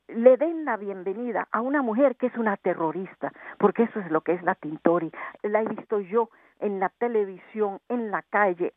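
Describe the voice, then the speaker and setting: A Venezuelan-born woman talks with passion and indignation, pressing each point hard. Her voice comes through a tinny phone line with no room sound. She sits at a middling pitch. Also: no echo. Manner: impassioned, indignant, emphatic. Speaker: sociologist guest. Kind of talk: phone interview. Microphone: telephone.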